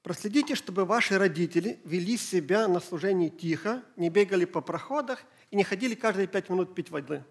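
A middle-aged man, Ukrainian his first language, preaching a church sermon in short phrases with pauses for an interpreter. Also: high-pitched, expressive. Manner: slow, halting German, pausing phrase by phrase. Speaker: pastor.

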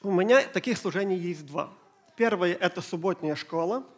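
A middle-aged man, Ukrainian his first language, preaching a church sermon in short phrases with pauses for an interpreter. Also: high voice. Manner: slow, halting German, pausing phrase by phrase. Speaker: pastor.

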